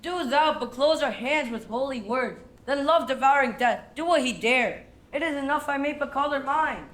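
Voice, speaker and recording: Young Shakespearean lover female actor in male role, high-pitched, courtly, ardent, stagey; echoey recording. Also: moderate pace, fairly bright tone. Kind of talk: theatrical Shakespearean acting. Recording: echoey room with background noise.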